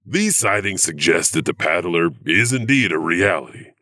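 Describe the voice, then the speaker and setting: Man playing a deep, theatrical spooky storyteller. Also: expressive, moderate pace, pushed and bright, exaggerated voice. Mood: ominous. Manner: theatrical, musing, wry. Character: theatrical, sly janitor telling creepy tall tales. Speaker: host and narrator.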